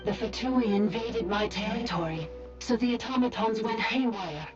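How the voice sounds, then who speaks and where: A woman voices an automaton in an anime game. It speaks in a fairly high, stilted machine manner with an urgent edge. The sound is dull, with clear music behind it. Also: normal speed, lightly acted. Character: controlled automaton relaying a broken, urgent message.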